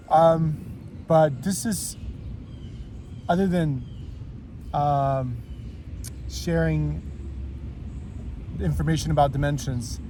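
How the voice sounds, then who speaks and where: A man hosting a conversational spiritual talk, speaking deliberately phrase by phrase as he introduces his guest. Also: mid-range voice, noisy background.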